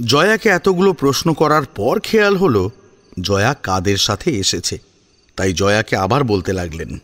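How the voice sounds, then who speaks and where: Expressive male storyteller with lively pitch. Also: normal speed. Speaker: narrator. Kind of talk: dramatic scripted story narration.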